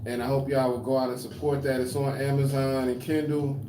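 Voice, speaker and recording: A man's voice: medium pitch, little pitch movement, dark tone, roomy sound, slight background noise. A man in his forties hosting a podcast, talking casually and leaning on fillers as he plugs things. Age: 40s. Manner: laid-back, drawn-out plugs with fillers. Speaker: podcast host. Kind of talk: casual chat.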